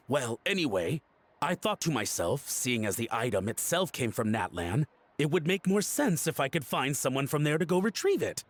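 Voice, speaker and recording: Theatrical, nervous gentleman collector, wildly swooping voice. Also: medium pitch, very animated, normal speed. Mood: hesitant, explaining. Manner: flustered stammering and melodramatic pleading. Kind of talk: voice-acted game dialogue.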